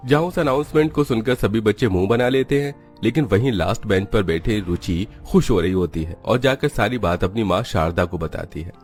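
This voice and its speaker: A man's voice: lowish voice, animated, a little fast, few pauses, a little mellow. A man reading scripted narration for a children's moral story. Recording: music behind the voice.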